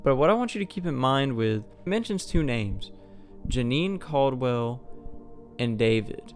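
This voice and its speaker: A man's voice: mid-range voice, lively intonation, measured pace, faint music behind. A man hosting a video essay, giving a scripted explainer narration.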